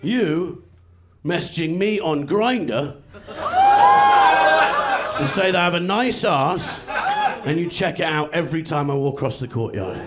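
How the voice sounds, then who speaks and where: High-voiced man telling a stand-up story. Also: expressive, a crowd in the background. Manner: quick storytelling, drops into mock voices for quoted people. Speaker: stand-up comedian. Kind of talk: stand-up comedy set. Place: comedy stage before a laughing crowd. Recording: loud noise, audience laughter.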